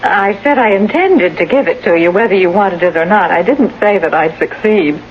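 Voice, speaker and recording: An actress in a radio drama playing a brisk, unflappable nurse who coaxes in a polite, chatty, teasing way. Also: female voice, mid-range voice, lightly acted. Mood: coaxing, teasing. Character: brisk, unflappable, cleverly coaxing female nurse. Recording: dull, hissy old radio broadcast.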